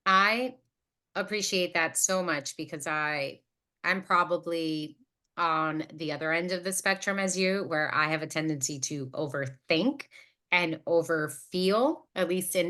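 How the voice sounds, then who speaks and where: A woman in her late thirties hosting a podcast, talking casually and reflectively, working through her thoughts aloud at length. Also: lowish voice. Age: late 30s. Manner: self-reflective, thinking out loud, wordy. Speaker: podcast host.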